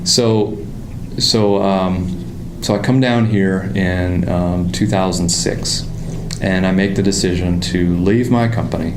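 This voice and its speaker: A man with a fading Pittsburgh accent telling his story in a relaxed interview, with frequent fillers and restarts. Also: low-pitched, takes it slowly, echoey room, background noise. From Pittsburgh area, United States. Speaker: motorcycle designer, guest. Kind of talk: casual interview conversation.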